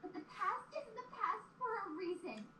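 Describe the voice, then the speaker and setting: A woman acts out a defiant young cartoon pony mare with a very high, bright voice that swings widely. She is a confrontational, defensive little sister in a fan audio drama. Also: lively intonation, normal speed, heavily acted. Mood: defensive, angry. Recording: echoey, faint background noise.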